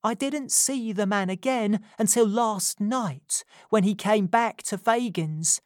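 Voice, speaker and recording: A woman's voice: medium pitch, slow pace, no echo, clean studio sound, pitched above the performer's own voice, close to an everyday voice. A woman voicing a frightened young woman who speaks in an urgent, pressing way in a storybook reading.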